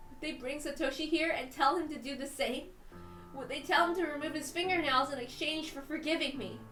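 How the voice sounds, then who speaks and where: Woman, very high pitch, emotive visual novel narration, tense, deliberate, echoey room, music behind. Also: fairly bright tone. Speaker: reader on a stream. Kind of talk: dramatic first-person narration of a visual novel. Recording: roomy, distant mic, music behind.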